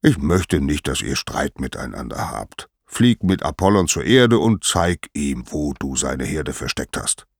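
A man voicing a calm, patient, authoritative father of the gods in a storybook tale. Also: rumbling low, gravelly, no echo, clean studio sound, heavily acted. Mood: calm, commanding. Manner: measured, commanding, patient.

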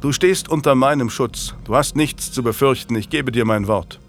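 A man voicing an arrogant, self-assured young knight of royal blood with a smooth, calculating manner. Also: mid-range voice, moderate pace, soft background music, close to an everyday voice. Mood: reassuring.

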